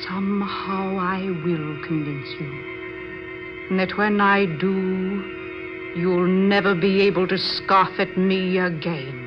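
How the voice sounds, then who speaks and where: An actress playing a haughty, selfish wife and séance medium, measured and condescending in old-time radio drama style. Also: female voice, mid-range voice. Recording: muffled, faintly noisy old radio recording.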